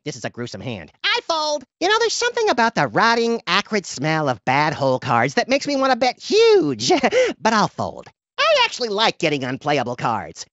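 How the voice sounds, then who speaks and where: A man's voice: high voice, dry recording, dull audio, exaggerated voice. A male actor playing a small, violent, gleeful rabbit-like video game creature with a flippant, theatrical manner. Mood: manic excitement. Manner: flippant, theatrical, deadpan absurd menace. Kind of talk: comedic video game voice acting.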